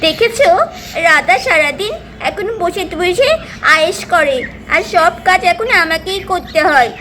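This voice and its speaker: A woman's voice: very high-pitched, a little slow, full character voice. A woman voicing a young household helper in a doll puppet cartoon, complaining to herself about all the work. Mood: grumbling. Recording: steady background noise.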